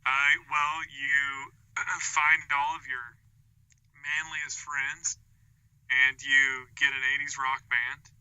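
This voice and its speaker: A man's voice: mid-range voice, flat delivery, slow pace, bright, forceful tone, smooth, no echo, rough recording. A man talking casually as a guest podcast creator in an interview. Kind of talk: casual chat.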